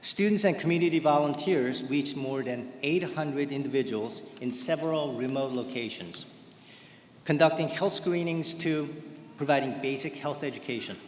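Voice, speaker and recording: A man giving a formal commencement speech in a measured, ceremonial way, speaking into a podium microphone in a large indoor venue. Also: on the high side, slight background noise. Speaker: ceremony presenter. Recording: echoey hall, faint ambience.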